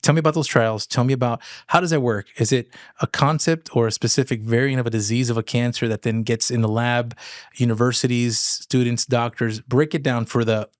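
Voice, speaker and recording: An upbeat male host in a casual interview, enthusiastic and playful with his guest. His voice sits at an ordinary pitch. The recording is dry and close. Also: steady tone, brisk, no echo. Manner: enthusiastic, playful, eager affirmations. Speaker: podcast host.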